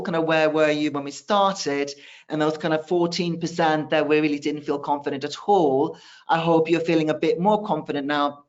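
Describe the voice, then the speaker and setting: Guy with a slightly high voice wrapping up a webinar talk, says kind of a lot, muffled call sound. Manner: relaxed, lots of "kind of" fillers. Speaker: guest speaker on anxiety. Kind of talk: webinar presentation. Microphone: video call. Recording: muffled video-call audio.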